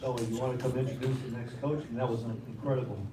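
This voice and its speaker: A man's voice: mid-range voice, flat delivery, fast talker. A man emceeing a banquet, speaking in an upbeat, encouraging way. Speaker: master of ceremonies. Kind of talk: banquet speech. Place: large banquet hall. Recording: echoey hall, noisy, from far off.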